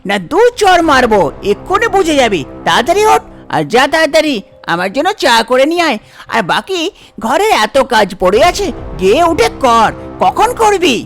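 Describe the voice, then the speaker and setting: A harsh, impatient village stepmother, played by a woman in a cartoon tale. She nags and orders in a bossy way, her pitch swinging widely, over faint music. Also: very animated, normal speed. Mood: threatening, impatient. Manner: nagging, bossy, dismissive. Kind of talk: cartoon story voice acting.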